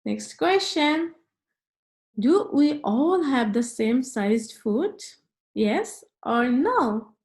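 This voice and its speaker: Woman for children's read-aloud and quiz: very slow, lively pitch swings, slightly high voice, clear teacherly address. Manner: deliberate, instructional, addressing young listeners directly.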